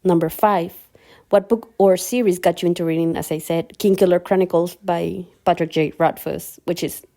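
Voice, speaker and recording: A young woman from Mexico with a Mexican Spanish accent, a native Spanish speaker, introducing herself in a casual book vlog and speaking slowly and carefully, with hesitant restarts. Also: on the low side, steady tone, mellow. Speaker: new book vlogger. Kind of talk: casual first-video introduction.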